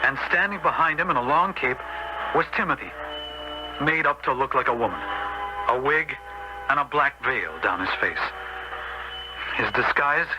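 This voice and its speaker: A man's voice: mid-range voice, expressive, moderate pace, pauses often, music in the background, natural voice. An actor playing an upright, preachy man troubled by his crooked brother, in 1970s radio drama style. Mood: grave recounting. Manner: earnest, moralizing. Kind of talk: 1970s radio drama acting with first-person narration. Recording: noisy old 1970s radio broadcast.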